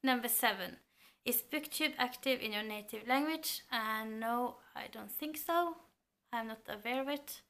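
Slow, bright-voiced Norwegian woman. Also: a little high. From Norway. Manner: thoughtful, hesitant with fillers. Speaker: book vlogger. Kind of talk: casual chat to camera. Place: home room lined with bookshelves. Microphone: camera at close range.

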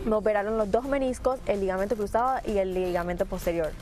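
A woman's voice: mid-range voice, music in the background. A young woman in her twenties from Panama, answering interview questions earnestly with frequent restarts. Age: 20s. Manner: earnest, fast, many restarts. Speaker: pageant winner, interviewee. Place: TV studio set.

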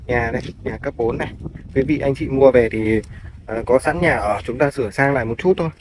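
A male property agent talks viewers through a piece of farmland in a polite sales manner, at a middling pitch. He is outdoors on a handheld phone, with faint noise behind his voice. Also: fairly quick. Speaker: real estate agent. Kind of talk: walk-through property tour narration. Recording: clear background noise, outdoor phone video.

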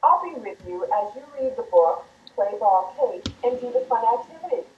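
A woman presenting a children's reading show, speaking slowly and encouragingly as she leads the viewers in cheers. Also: a little high, lively intonation, background noise. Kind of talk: scripted address to children. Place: indoor studio set with plant backdrop. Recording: dull, roomy old videotape sound from far off.

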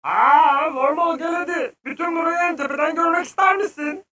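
An actor voicing a small, eager, adventurous cartoon creature with an excitable, friendly manner in a children's educational show. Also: extremely high pitch, moderate pace, heavy background noise. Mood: eager, excited. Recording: roomy, distant sound, loud music behind.

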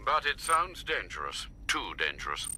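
An actor playing an older, dutiful English butler in a video game, formal, polite and precise. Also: male voice, mid-range voice, very animated, moderate pace, sharp tone, a little hiss or hum. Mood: measured, worried. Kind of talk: video game dramatic acting.

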